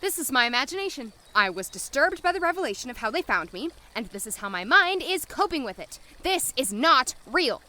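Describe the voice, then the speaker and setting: A woman acting a rattled, skeptical doctor with a sharp tongue and a flustered, argumentative manner in an audio drama. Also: sits high, big pitch swings, a little fast, hardly any pauses, slight background noise, heavily acted. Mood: rattled denial.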